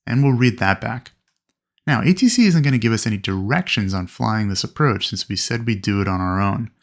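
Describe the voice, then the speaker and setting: A man narrating an instructional lesson as a flight instructor, explaining things clearly and methodically. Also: on the low side, lively intonation, fairly quick. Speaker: flight instructor presenting a training video. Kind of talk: instructional narration with acted radio calls.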